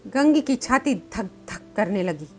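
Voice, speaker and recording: A woman's voice: medium pitch, animated, measured pace, a little mellow. A woman storyteller reading a scripted literary story as narration. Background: light background music.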